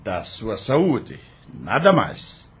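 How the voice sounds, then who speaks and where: A man's voice: lowish voice, huge pitch swings, extremely slow, slightly rough, exaggerated voice. A man playing a tense, defensive doctor. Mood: curt. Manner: tense, pleading, curt. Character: troubled male doctor, defensive. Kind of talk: audio drama acting.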